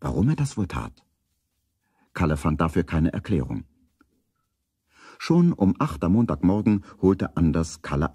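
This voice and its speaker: Deep, husky male storyteller. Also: mellow, dry recording, clean studio sound, exaggerated voice. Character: calm storyteller of a children's detective tale. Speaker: narrator. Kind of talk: scripted storybook narration.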